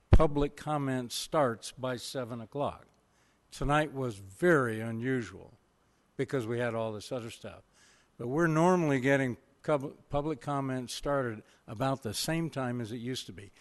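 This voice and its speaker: Easygoing man joking at council. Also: medium pitch. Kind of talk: casual remarks at a meeting. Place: council chamber.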